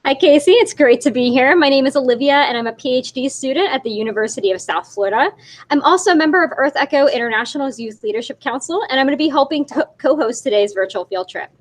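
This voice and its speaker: A woman's voice: high voice, expressive. A young woman doing a cheerful introduction as co-host. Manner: quick, cheerful self-introduction.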